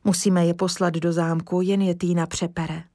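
A woman's voice: lowish voice, level tone, dark tone, dry recording, clean studio sound, close to an everyday voice. A woman voicing a kindly forester's wife who reassures, in a storybook reading. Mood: reassuring.

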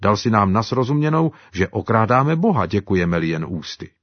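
A man voicing a cheerful, grateful African man who talks with excited exclamations. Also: on the low side, dull audio, very noisy, pitched above the performer's own voice. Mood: earnest.

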